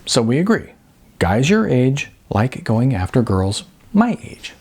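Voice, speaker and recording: Man reading as a frank, husky young woman. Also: medium pitch, big pitch swings, unhurried, a little mellow, pitched above the performer's own voice. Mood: teasing. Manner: frank, coaxing. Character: earnest young woman, frank, persistent, smitten.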